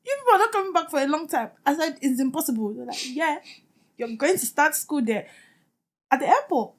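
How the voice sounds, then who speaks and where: A woman's voice: high voice, fairly quick. A young woman from Nigeria, a podcast guest, telling stories in casual chat with lots of laughter and joking. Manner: animated, laughing storyteller who jokes.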